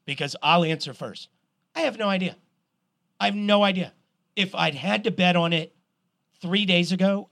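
Animated middle-aged man talking sports. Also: sits high, gravelly, no echo, studio quality. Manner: animated storyteller, swears, restarts a lot. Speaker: podcast co-host. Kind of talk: casual sports chat.

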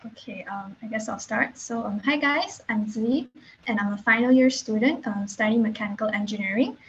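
A woman's voice: a little high, normal speed. A woman in her twenties from Malaysia, a student guest speaking hesitantly with many fillers. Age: 20s. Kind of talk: casual podcast chat. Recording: dull slightly noisy recording.

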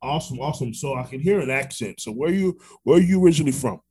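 A man with an American accent hosting a casual interview podcast, repeating words as he goes in a halting way. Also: medium pitch, animated, dark tone, breathy edge. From Orlando, Florida, United States. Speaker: podcast host.

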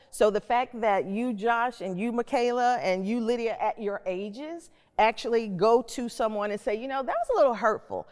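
A woman's voice: medium pitch, a little mellow. A middle-aged woman hosting and moderating a panel discussion. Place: stage in a reverberant hall. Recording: echoey hall with long reverb.

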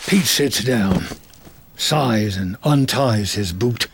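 Male story narrator, unhurried scripted read, mid-range pitch, noticeable noise and ambience behind. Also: expressive. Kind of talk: scripted screenplay narration, present tense.

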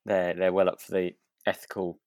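A man co-hosting a podcast in relaxed casual chat, speaking in a hesitant, tentative, wry way. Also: sits low, fairly quick, no room sound, clean studio sound. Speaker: podcast co-host. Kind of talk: relaxed casual chat reviewing a radio drama.

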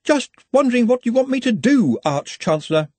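A man's voice: very high voice, sing-song, a little fast, a little mellow, dry recording, voice pushed up, full character voice. A man voicing an anxious, doubtful male academic wizard, a deferential underling, in an audiobook. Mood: horrified, pleading. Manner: doubtful, fretful. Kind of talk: audiobook character acting.

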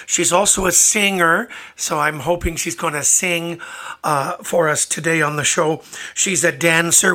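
A man with a slightly raspy voice, chatting informally as the host of a live radio interview show. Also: on the high side, bright, forceful tone. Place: radio studio. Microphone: studio microphone.

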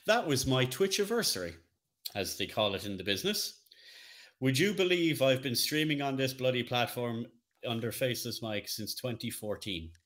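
A man's voice: medium pitch, expressive, normal speed, fairly bright tone. A man co-hosting a casual podcast, talking in a chatty, jokey way. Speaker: co-host, streamer.

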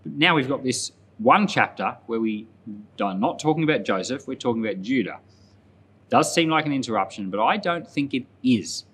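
A man speaking as a pastor, reading and explaining scripture aloud in a measured, plain-spoken way. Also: mid-range voice, animated, a bit bright, slight background noise. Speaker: pastor, Bible podcast host.

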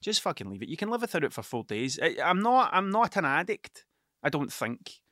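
A middle-aged Scottish man with a broad Ayrshire accent tells a long, rambling story, swearing freely and checking in with "do you know what I mean". His voice sits a little high and sounds bright and forward. The recording is close and dry, with no room sound. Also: big pitch swings, fairly quick, dry recording. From Ayrshire, Scotland. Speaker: podcast host. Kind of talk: casual storytelling monologue.

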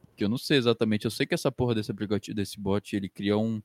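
A man's voice: lowish voice, very fast, a little mellow, no echo. A twenty-year-old Brazilian man hosting a podcast as a casual chat with friends, slangy and foul-mouthed. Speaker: podcast host.

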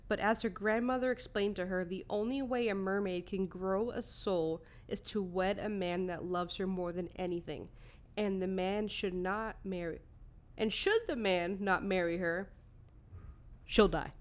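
A woman's voice: mid-range voice, steady tone, a little slow. Female podcast storyteller, casual and comedic, partly scripted, with a playful, sweary, giggly streak. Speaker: podcast co-host telling a story.

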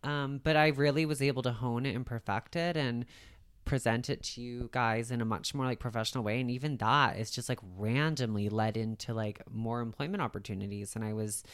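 A woman's voice: very deep voice, a little fast, clear, resonant tone. A woman guest in a casual interview, talking informally with lots of filler words and the odd laugh.

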